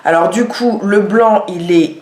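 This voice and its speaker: A woman's voice: fairly low pitch, unhurried, darkish tone, a little hiss or hum. A woman showing products in a casual vlog, talking with many hesitations and fillers. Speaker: vlogger. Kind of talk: casual product show-and-tell. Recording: echoey room.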